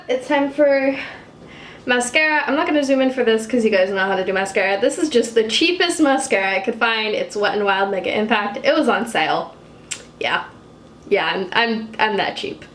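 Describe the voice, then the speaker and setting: A young woman with a low, husky voice chatting casually while doing her makeup, self-mocking and swearing offhandedly. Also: reverberant, a little hiss or hum. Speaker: YouTuber doing a makeup tutorial. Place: apartment room.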